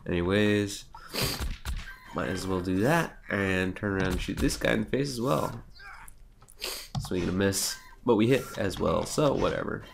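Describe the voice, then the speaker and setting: A man's voice: low voice, normal speed, gravelly, long pauses, noisy background. A young man with a cold, nasal and sniffly, giving casual, sarcastic let's play commentary and swearing now and then. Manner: sarcastic, self-mocking, swears.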